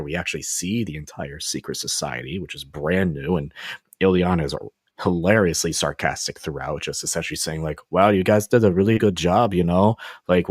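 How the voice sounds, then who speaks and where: A man's voice: low voice, no echo, studio quality. A man hosting a casual comic book review show, rambling and joking as he recaps issues. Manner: laid-back, rambling, joking. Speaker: comic book podcast host.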